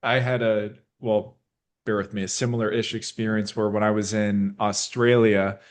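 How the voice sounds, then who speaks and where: A man's voice: fairly low pitch, level tone, normal speed. A man hosting a podcast, asking questions in a chatty, easygoing interview manner. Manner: chatty, laughs easily. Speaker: podcast host. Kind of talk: casual interview chat.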